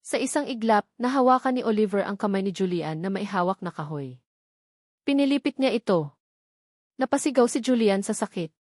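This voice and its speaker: A woman's voice: medium pitch, expressive, fairly quick, darkish tone, no echo, studio quality. A woman telling a first-person dramatic love story in an earnest storyteller's voice.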